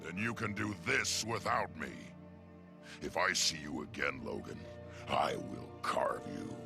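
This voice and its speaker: A bitter, contemptuous beast warrior from a video game. The voice is rock-bottom low and thick with gravelly growl. Clear music plays behind the threatening delivery. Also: male voice, big pitch swings, measured pace, exaggerated voice. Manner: menacing, contemptuous. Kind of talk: video game cutscene acting.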